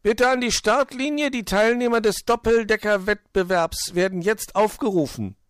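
A man's voice: high voice, animated, moderate pace, no echo, clean studio sound, pitched above the performer's own voice. A man voicing a small, naive hedgehog child in a children's story, eager and easily indignant. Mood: excited, indignant.

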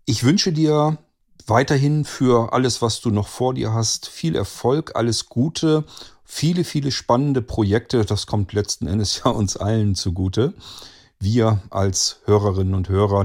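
Casting: male host, fairly low pitch, effusively courteous, wordy interview delivery. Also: creaky, darkish tone. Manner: effusively polite, formal phrasing.

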